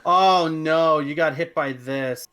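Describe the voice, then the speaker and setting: A man co-hosting a game stream, calmly commenting and explaining the game's mechanics. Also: fairly high pitch, slow pace, clean tone. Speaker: co-host watching the gameplay. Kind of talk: casual gaming commentary. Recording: game music loud behind voice. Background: game music.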